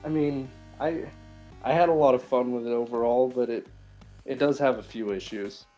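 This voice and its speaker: A man's voice: mid-range voice, moderate pace, dark tone, highs cut off. Tentative male caller over faint music. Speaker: caller to a gaming stream. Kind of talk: casual chat about video games. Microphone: internet voice call.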